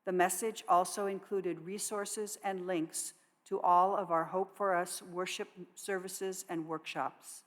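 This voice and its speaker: A woman reading scripted announcements to a congregation in a measured public voice. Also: fairly low pitch, steady tone. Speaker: worship associate reading church announcements. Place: church sanctuary. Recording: long reverb of a large hall.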